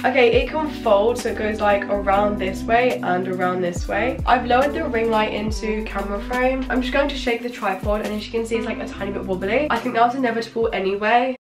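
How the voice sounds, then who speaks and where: A teenage girl with a croaky voice from a cold, chatting excitedly through an unboxing vlog in her bedroom, filmed on a camera mic. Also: on the high side, brisk, hardly any pauses, over music. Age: teens. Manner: chatty and excitable, lots of "like" and "literally". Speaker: YouTuber. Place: bedroom, indoors.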